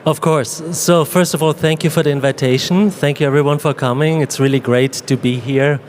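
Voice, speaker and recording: A man from Austria with an Austrian accent, answering as a panelist in a fluent, explanatory way and slowing on key terms. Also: on the high side, steady tone, a little hiss or hum. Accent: Austrian German. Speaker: panelist, AI executive.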